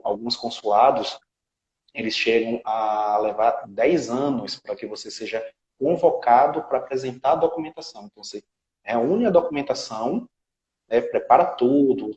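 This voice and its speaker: Brazilian guy, legal specialist on an interview, calm step by step explaining, lots of little tag questions, kinda muffled audio. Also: mid-range voice, reverberant. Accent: Brazilian Portuguese. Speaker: citizenship law specialist, guest.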